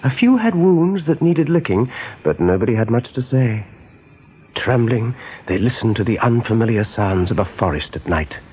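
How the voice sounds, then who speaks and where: A man narrating a scripted radio drama as an all-knowing storyteller, with a husky voice and measured, deliberate delivery. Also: mid-range voice, very animated, darkish tone, a little hiss or hum, lightly acted. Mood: storytelling. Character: omniscient storyteller of a rabbit adventure.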